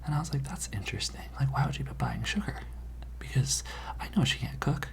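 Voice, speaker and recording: An ASMR performer playing a gossipy, dramatic high school student, rambling in a hushed, conspiratorial way. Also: expressive, brisk. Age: teenage character. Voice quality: breathy whisper with creaky edges. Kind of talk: ASMR roleplay.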